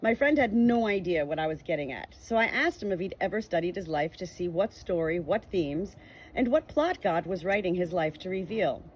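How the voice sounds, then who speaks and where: A woman's voice: on the low side, animated, moderate pace, slight background noise, rough recording. Earnest woman reading a faith book aloud. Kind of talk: reading a nonfiction book aloud with personal reflection.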